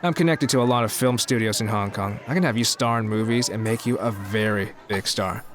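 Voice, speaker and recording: A man playing a boastful, self-important showbiz mogul in an audio drama, smooth-talking as he courts. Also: lowish voice, fairly quick, slight background noise, close to an everyday voice. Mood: grandiose.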